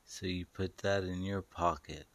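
A man narrating a guided meditation as a slow story, speaking deliberately in short, paused phrases and instructing the listener. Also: low-pitched, fairly even intonation, no echo.

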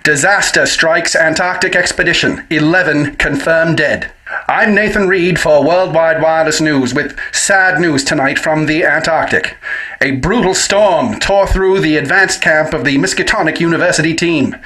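An actor playing a 1930s wireless news announcer, reading in a formal, measured way. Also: male voice, a little high, sharp tone. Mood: solemn. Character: 1930s male wireless newsreader.

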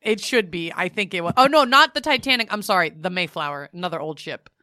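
A woman's voice: fairly low pitch, lively intonation, fast, pushed and bright, dry recording, clean studio sound. A woman in her twenties co-hosting a podcast, chatty and jokey in casual talk. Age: 20s. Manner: chatty, jokey, laughs often. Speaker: podcast co-host. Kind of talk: casual chat.

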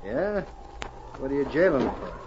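An actor playing an inquisitive frontier newspaper editor with folksy, wry small-town talk in an old radio drama. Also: male voice, mid-range voice, big pitch swings, unhurried. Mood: curious. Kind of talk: 1940s radio drama acting. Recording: muffled, noisy old radio recording.

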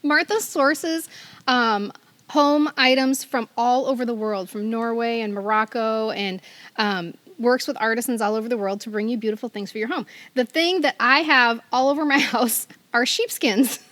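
A middle-aged woman hosting a casual podcast in a playful, animated and jokey manner. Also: a little high, normal speed, no room sound. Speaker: podcast co-host and quizmaster.

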